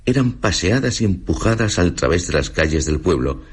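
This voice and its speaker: A man with a Castilian Spanish accent narrating a literary radio drama in a solemn, measured storytelling manner. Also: deep voice, big pitch swings, slightly rough. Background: prominent music.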